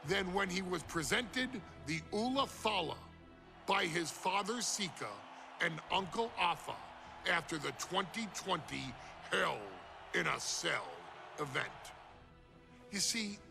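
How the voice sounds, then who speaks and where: Male narrator, high-pitched and slow, grandiose and reverent, scripted showcase presentation with weighty pauses. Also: lively intonation, creaky. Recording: music under the voice. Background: music.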